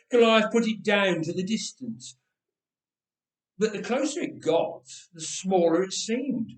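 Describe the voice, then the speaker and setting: A man reading a story aloud in an expressive, theatrical and deliberate way. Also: sits high. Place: echoey church interior. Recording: echoey room, distant from mic.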